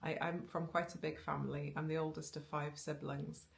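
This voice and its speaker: Low, gravelly woman chatting. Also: little pitch movement, normal speed. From Wales. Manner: chatty, rambling, with frequent ums and restarts and little laughs. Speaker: knitting vlogger. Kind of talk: casual chat to camera. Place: living room with bookshelves, indoors. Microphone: camera on a tripod facing her.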